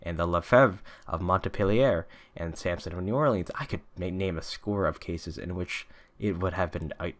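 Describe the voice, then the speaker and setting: Guy reading as an eager eccentric detective, proud, emphatic, low voice, storybook style. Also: normal speed, few pauses, a bit bright. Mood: excited, boastful.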